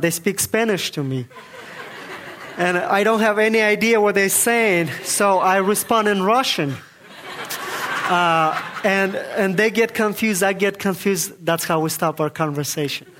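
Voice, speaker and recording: A man's voice: sits high, fairly bright tone, a little hiss or hum. Earnest man. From Kazakhstan. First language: Kazakh. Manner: earnest, halting, with many fillers and restarts. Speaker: guest speaker giving testimony. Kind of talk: church testimony.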